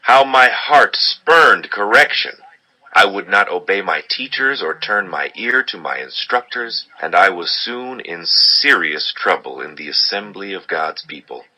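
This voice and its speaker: Audio Bible drama role: a remorseful broken man, deepish and very tense, lamenting dramatically. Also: expressive, unhurried, pushed and bright, heavily acted, rough recording. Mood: bitter regret. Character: regretful ruined man lamenting his folly.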